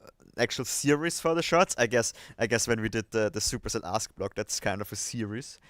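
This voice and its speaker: A man's voice: lowish voice, expressive, fairly quick, a bit bright, dry recording. A man hosting a casual livestream chat, thinking aloud and hesitating as he goes. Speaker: livestream host.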